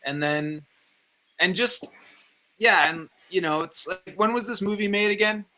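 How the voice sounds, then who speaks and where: Bright, hesitant man in casual discussion. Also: high voice, measured pace, poor audio. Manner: hesitant, tentative, circling his point. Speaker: co-host of a film review show. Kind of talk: casual film discussion chat.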